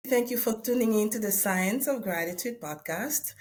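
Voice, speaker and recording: A woman's voice: mid-range voice, moderate pace, loud music behind the voice. A woman hosting a podcast, who interviews her guest in an upbeat, encouraging way. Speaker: podcast host.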